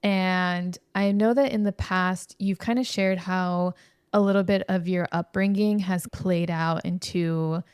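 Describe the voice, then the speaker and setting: A woman's voice: fairly low pitch, steady tone, unhurried, a little mellow, clean tone, no room sound, clean studio sound. A woman hosting a podcast in a casual conversational interview, informal and encouraging toward her guest. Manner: affirming, informal, encouraging listener.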